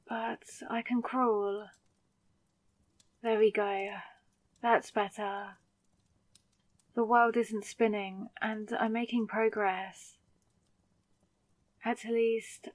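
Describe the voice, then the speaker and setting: Woman acting as confused hungover new vampire girl, wary n exasperated, talks slow, dry close audio roleplay. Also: medium pitch, fairly even intonation, no room sound. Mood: weary, determined. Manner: muttering to herself, exasperated.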